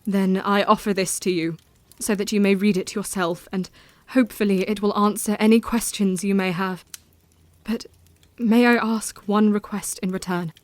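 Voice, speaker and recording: A woman's voice: mid-range voice, steady tone, normal speed, dry recording, close to an everyday voice. A woman playing a frank, troubled but resolute Victorian wife in an audio drama, speaking formally and earnestly.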